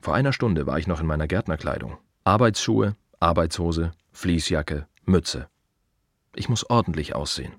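An earnest gravedigger in a radio play monologue. His voice is low and moves expressively through short, measured phrases. He sounds proud and reflective, recorded close and dry. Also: expressive, no room sound. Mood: earnest, matter-of-fact. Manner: measured, deliberate, short clipped phrases with pauses.

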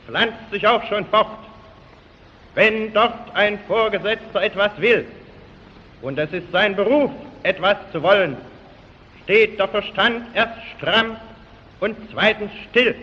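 A man giving a theatrical cabaret performance of satirical verse, in a dull, reverberant recording. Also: high voice. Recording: dull sound with long hall reverb.

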